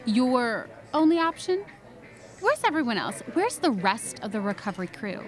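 Probing reporter, high animated voice. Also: female voice, faint music behind. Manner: brisk, direct, skeptical questioning. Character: sharp, probing small-town newspaper woman reporter. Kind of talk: audio drama acting.

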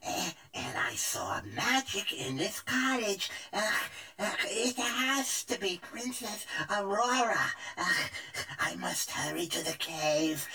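A woman playing a nervous female spy for an evil fairy, stammering in hushed, hurried bursts. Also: mid-range voice, heavily acted, poor audio. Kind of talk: audio drama acting.

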